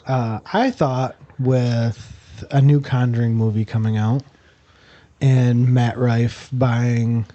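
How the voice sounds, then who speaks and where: Host: mellow, warm-toned man, halting delivery with frequent uhs. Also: mid-range voice, level tone, highs cut off. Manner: hesitant, many uhs and restarts. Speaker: podcast host. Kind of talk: casual chat, running the intro.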